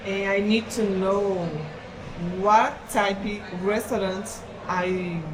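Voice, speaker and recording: A Brazilian woman speaking halting broken English with a Brazilian Portuguese accent, a native Portuguese speaker. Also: medium pitch, little pitch movement. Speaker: guest's wife. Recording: echoey room with background noise.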